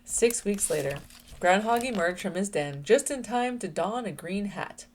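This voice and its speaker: A woman reads a storybook aloud for children as the host. Her mid-pitched voice is animated and expressive, recorded with slight noise in the background. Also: unhurried.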